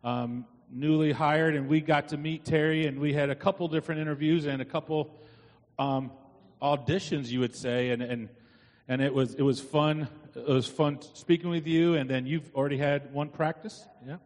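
Middle-aged man, fairly high voice, long reverb, halting informal church announcements, long-winded. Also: fairly even intonation, darkish tone. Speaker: church committee chair making announcements. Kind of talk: informal spoken announcements to a congregation. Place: inside a church.

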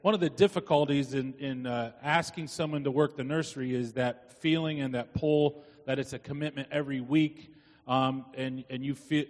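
A middle-aged man giving informal, halting announcements to a congregation as a church committee chair. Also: medium pitch. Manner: halting, long-winded. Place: inside a church. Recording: church hall with long reverb.

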